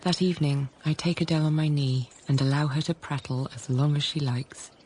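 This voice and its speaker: An actress playing an introspective young governess narrating her own story, hushed, intimate and deliberate, in a radio drama. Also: low voice, fairly even intonation, a little hiss or hum, lightly acted. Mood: wistful. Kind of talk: dramatised first-person narration.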